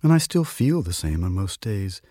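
A man voicing a friendly, wistful old lady in a story reading, with a gentle, chatty manner. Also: deep voice, very animated, moderate pace, slightly rough, dry recording, clean studio sound, exaggerated voice. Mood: bright, curious. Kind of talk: literary story reading with character dialogue.

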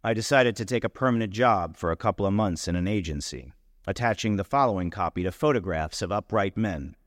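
A man's voice: lowish voice, expressive, a little fast, clean studio sound. Man reading fiction in a reverberant hall. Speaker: story reader. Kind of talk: literary short story read aloud, first-person narration.

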